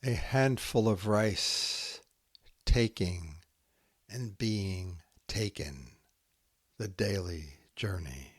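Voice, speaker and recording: A man reads spare haiku at an extremely slow, deliberate pace. His voice sits fairly low, and he sets out each short phrase with care. The sound is close and dry, with very little room around it. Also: breathy edge, no echo. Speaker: podcast host reading poetry.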